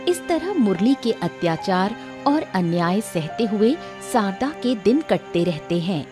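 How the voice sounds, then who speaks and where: Female narrator for cartoon moral story, lively intonation, mid pitch, music bed. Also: measured pace. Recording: music behind the voice.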